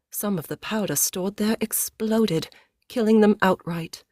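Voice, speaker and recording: A woman's voice: medium pitch, darkish tone, dry recording, studio quality. A woman reading a novel aloud in audiobook-style scripted narration.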